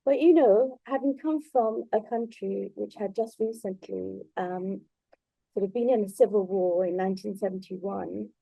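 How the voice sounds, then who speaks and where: A middle-aged or older native Bengali-speaking woman giving a reflective, conversational talk, pausing and feeling her way through her thoughts. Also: mid-range voice, vocal fry, mellow, no room sound, low-quality recording. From Bangladesh in early childhood, then moved abroad. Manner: hesitant, thoughtful, halting phrasing. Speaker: invited speaker at a book launch.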